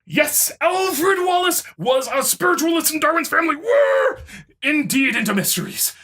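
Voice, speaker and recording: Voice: high voice, sing-song, normal speed, voice pushed up. A performer voicing an indignant, pompous, dim online commenter in a mocking, overblown dramatic reading. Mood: strident. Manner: overblown, shouty, swooping delivery.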